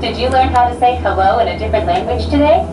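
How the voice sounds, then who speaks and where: A woman giving a prerecorded public announcement on a transit line, with a bright, lilting announcer delivery. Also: fairly high pitch, animated, fast. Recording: echoey distant loudspeaker with noise.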